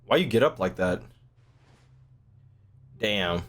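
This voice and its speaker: A young man streaming a game with animated, slangy, profane casual commentary, often repeating his phrases. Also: fairly low pitch, slow pace. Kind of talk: casual live gaming commentary.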